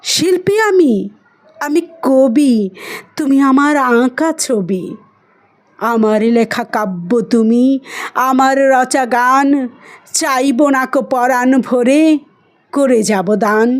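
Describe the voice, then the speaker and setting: A woman reciting poetry expressively, with a measured, emotive delivery. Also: sits high.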